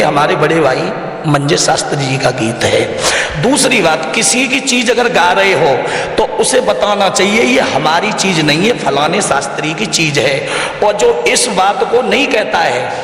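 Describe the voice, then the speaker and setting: Echo-drenched higher-voiced Indian man, earnest. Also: lively intonation, moderate pace, a bit bright. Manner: earnest, exhorting, repeats phrases in lists. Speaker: religious storyteller and devotional singer being interviewed. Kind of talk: interview answers in colloquial, preacherly talk. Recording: very echoey, reverberant room.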